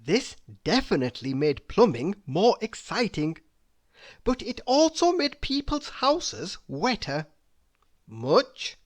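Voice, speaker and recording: This is a storyteller reading a children's book aloud. The pace is slow and deliberate, and the pitch swings widely from phrase to phrase. Key words are stretched out for emphasis. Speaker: storybook reader.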